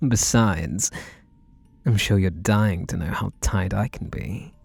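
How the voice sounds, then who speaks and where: Man acting as obsessive male butler, teasing, sugary, mellow tone, intimate ASMR roleplay, faint music behind. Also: sits low, slow, no echo, full character voice. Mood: teasing, sultry. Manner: smooth-talking, sugary endearments, teasing.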